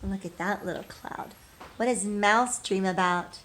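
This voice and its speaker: A woman teacher reading a storybook aloud expressively, pausing to put questions to young listeners. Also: medium pitch, fairly bright tone, slight background noise, rough recording.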